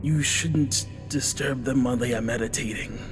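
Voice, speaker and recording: A man voicing a cryptic hermit who wears animal skins and carries a staff, speaking calmly and solemnly over background music. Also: mid-range voice, moderate pace, close to an everyday voice.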